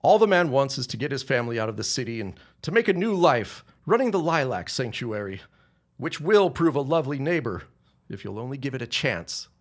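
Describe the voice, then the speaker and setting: A man playing a defiant, contemptuous grown son in a period audio drama, with a formal, measured and cutting delivery. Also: medium pitch, big pitch swings, natural voice. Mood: firm, defiant.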